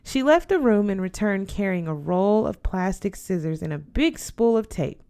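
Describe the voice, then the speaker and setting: A woman reading a first-person story aloud as an expressive scripted narration. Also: medium pitch, normal speed, smooth. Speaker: audiobook reader.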